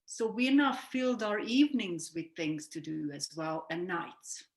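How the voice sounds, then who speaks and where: A woman's voice: lowish voice, animated, measured pace. A woman, a sleep coach explaining ideas in an earnest, reflective way and sometimes correcting herself mid-sentence. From Hungary. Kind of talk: explanatory interview talk. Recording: roomy echo.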